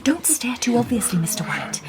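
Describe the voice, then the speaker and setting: An actress playing a composed, shrewd Victorian lady detective in a radio drama, polite and quietly ironic. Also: a little high, sing-song, fairly quick.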